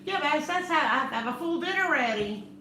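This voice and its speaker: A middle-aged woman with a loud voice, chatting casually and teasing, laughing often. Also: sits high, expressive, quick pace, roomy sound, a little hiss or hum. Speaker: channel co-host. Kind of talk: casual chat.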